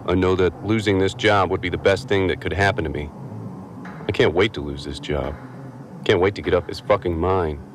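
An actor playing a disillusioned, haunted space-dock worker in a reflective, halting audio drama monologue. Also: male voice, sits low, slight background noise, close to an everyday voice. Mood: grim resolve. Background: music behind the voice.

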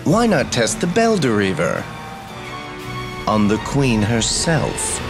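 An actor playing a menacing, grandiose lord in a fantasy video game, speaking ominously and deliberately. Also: male voice, medium pitch, wildly swooping pitch, music in the background, heavily acted. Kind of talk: scripted video game voice acting.

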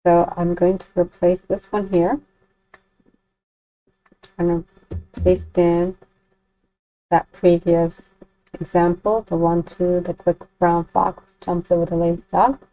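A woman giving a casual software walkthrough as a tutorial host, talking as she works through the steps. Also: fairly low pitch, flat delivery, slow pace, pauses often. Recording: dull lo-fi home recording.

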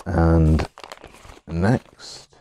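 A middle-aged man, slightly hoarse, chatting casually as he unboxes pens at his desk, rambling with many pauses and restarts. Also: low voice, fairly even intonation, warm tone, no echo. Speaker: pen collector vlogger. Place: indoors at a desk, overhead camera on hands.

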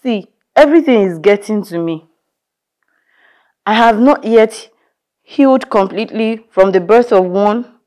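A weary young mother with a Nigerian accent, played by a woman, ranting about her endless chores and quickly apologizing. Her voice sits at an ordinary middle pitch. She sounds stretched thin and quick to snap. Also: animated, a little mellow, lightly acted. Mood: exasperated venting. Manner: venting, scolding, quick to apologize. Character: overwhelmed young mother, tired and short-tempered. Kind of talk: scripted skit acting.

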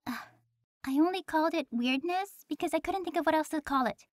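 A woman's voice: high voice, a little fast, no room sound, studio quality, lightly acted. A woman playing an earnest, prim angel who gets a little flustered, in an audio roleplay. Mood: flustered, insistent.

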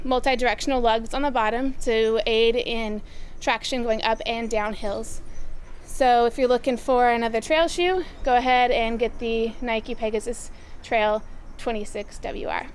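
A young woman presenting new running shoes and apparel in a casual, upbeat way, often pausing with "um". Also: fairly high pitch, slight background noise. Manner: upbeat, with frequent "um" fillers. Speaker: store product presenter. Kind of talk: casual product rundown. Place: outdoors by farm fields.

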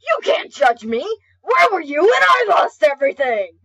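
A woman's voice: sits high, very animated, moderate pace, fairly bright tone, lo-fi sound, pitched above the performer's own voice, heavily acted. A woman voicing a brooding, flustered, hot-tempered skeleton young man in an amateur comic dub, hesitant and stammering. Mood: enraged.

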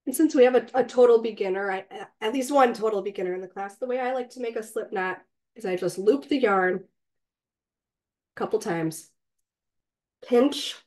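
A woman with a slightly raspy, cold-hoarse voice, patiently teaching a crochet class step by step and counting stitches aloud. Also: mid-range voice, warm tone. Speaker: crochet instructor. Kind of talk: step-by-step craft tutorial. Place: indoors, online class.

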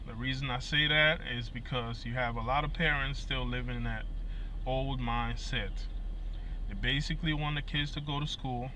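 Bright-voiced Liberian man in a car. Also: mid-range voice, a little hiss or hum. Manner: earnest, fillers and repeated words. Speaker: musician and vlogger. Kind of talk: casual talk to camera. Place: inside a parked vehicle. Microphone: phone camera at arm's length.

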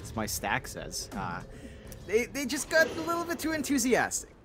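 A man's voice: very high-pitched, wildly swooping pitch, moderate pace, music in the background. A man giving analytical commentary on a video game match, explaining builds and lanes with playful asides. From Philippines. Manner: explanatory, playful, self-deprecating jokes. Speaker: esports color commentator.

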